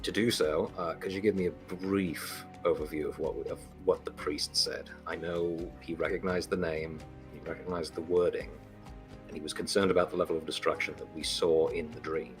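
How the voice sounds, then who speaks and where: A man's voice: low voice, level tone, normal speed, soft background music. A man chatting casually as a player in a tabletop game, speaking informally with some swearing.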